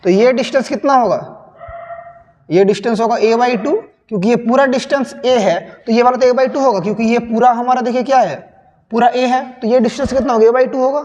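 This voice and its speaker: Hoarse young teacher, high voice, reverb. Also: male voice, a little mellow. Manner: slow, repeats questions to students. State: sore throat, hoarse. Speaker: physics teacher. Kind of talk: solving a sample paper on screen. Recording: long reverb.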